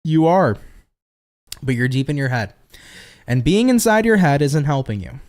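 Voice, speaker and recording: A man's voice: a little high, lively intonation, moderate pace, darkish tone. A tired man reading tarot on a casual livestream, chatty and profane, laughing often and answering viewer comments. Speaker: tarot reader hosting a livestream.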